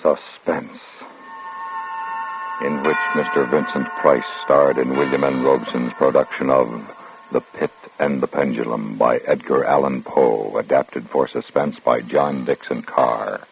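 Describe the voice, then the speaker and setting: An American man announcing a 1950s radio show from a script, formal, measured and ominous. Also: a bass voice, fairly even intonation, creaky. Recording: tinny old radio broadcast, music behind.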